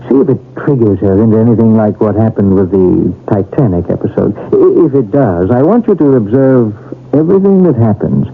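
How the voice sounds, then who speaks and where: A man playing a calm, thoughtful, probing doctor in a 1970s radio drama. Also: fairly low pitch, a little fast, creaky, soft background music. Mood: intent. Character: Carlos, calm inquisitive male doctor open to the occult. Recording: tinny, noisy 1970s radio recording.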